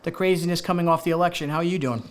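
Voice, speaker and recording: A man's voice: on the high side, racing. A man hosting a local television talk show in a studio, enthusiastic and rambling, with many fillers and restarts.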